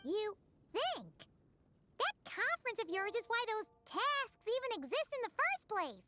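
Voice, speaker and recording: A woman voicing a tiny childlike fairy companion in a video game, chirpy, sceptical and sassy, with exaggerated squeaky delivery. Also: big pitch swings, measured pace, sharp tone, heavily acted. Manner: squeaky, exaggerated, speaks of self in third person. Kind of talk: English video game voice acting. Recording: game music behind the voice. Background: game music.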